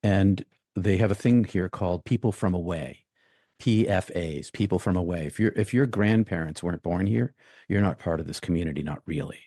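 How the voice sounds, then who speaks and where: A man from the United States, a guest in an interview, speaking reflectively and thinking aloud with many pauses and restarts. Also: low-pitched, warm tone, no room sound, studio quality.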